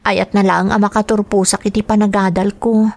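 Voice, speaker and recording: A woman's voice: medium pitch, flat delivery, normal speed, creaky, darkish tone, no room sound, natural voice. A woman with an Ilocano accent playing a humble, burdened young student in a radio drama, earnest and plaintive. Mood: downcast.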